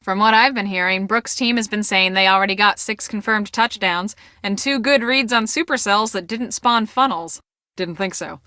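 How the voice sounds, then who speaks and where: A woman playing an earnest, take-charge storm-chasing project manager in a video game, speaking in a brisk, businesslike way. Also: mid-range voice, sharp tone, no echo, clean studio sound, lightly acted. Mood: focused.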